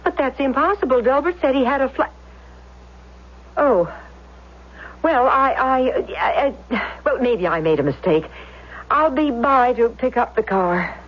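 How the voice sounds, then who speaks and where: An actress playing a flustered, indignant housewife in a 1970s radio drama, stammering and exclaiming. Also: female voice, high voice, animated. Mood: flustered disbelief. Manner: slow, stammering, swooping exclamations. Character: flustered, indignant housewife, a fire chief's wife. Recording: muffled, narrow vintage radio sound.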